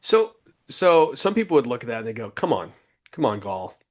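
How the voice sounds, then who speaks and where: Host: man, mid-range voice, lively and animated, pitch leaps when excited, casual interview talk. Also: normal speed. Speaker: podcast host.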